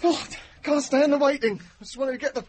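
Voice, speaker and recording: An actor playing a jittery, pushy, boastful dental patient in a radio play, stammering and blustering. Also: male voice, very high voice, brisk. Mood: nervous, blustering. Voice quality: husky, breathy. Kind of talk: naturalistic radio drama acting.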